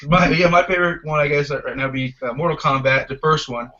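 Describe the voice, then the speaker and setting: A man's voice: a little high, fast, bright, forceful tone. Casual man podcasting, muffled audio. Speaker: podcast host. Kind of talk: casual chat about video game movies.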